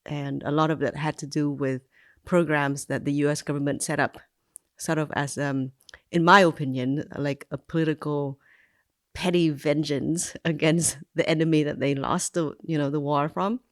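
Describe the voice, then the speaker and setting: A woman's voice: deep voice, fairly even intonation, normal speed. A woman in her forties from Vietnam, recalling her childhood in an unscripted, reflective way, with frequent ums and likes and restarts in her sentences. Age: 40s. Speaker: migrant telling her life story. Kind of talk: unscripted personal memoir.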